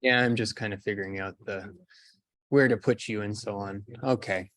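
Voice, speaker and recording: A man's voice: on the low side, fairly even intonation, moderate pace, fairly bright tone, no echo. A man hosting a summer school session, giving a casual, off-the-cuff introduction in a rambling, loosely phrased way.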